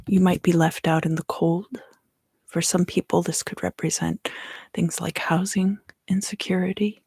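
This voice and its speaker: A woman giving an ASMR tarot reading in a soft, unhurried whisper. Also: lowish voice, fairly even intonation, gravelly, breathy edge, dry recording, studio quality. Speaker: tarot reader and ASMR host.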